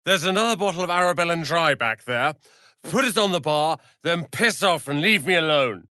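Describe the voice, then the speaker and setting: A snooty young wizard apprentice for a fantasy game, really animated pitch, touchy and sharp tongued, dry close recording. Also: male voice, moderate pace, no echo. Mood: bitter. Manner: haughty, theatrical, sharp-tongued. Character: proud, irritable young male wizard apprentice, quick to anger. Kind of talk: dramatic fantasy video game acting.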